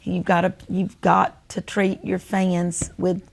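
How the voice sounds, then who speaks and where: Older female with a Southern American accent for relaxed, folksy family storytelling in conversation. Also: fairly low pitch, slow, creaky, rough recording. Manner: folksy storytelling, acts out remembered family dialogue. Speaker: host. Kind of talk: casual reminiscing conversation.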